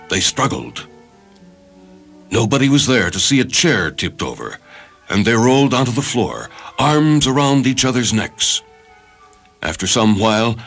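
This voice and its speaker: A man narrates a dramatic short story in a low voice. His scripted delivery is measured and literary. Music runs softly behind him.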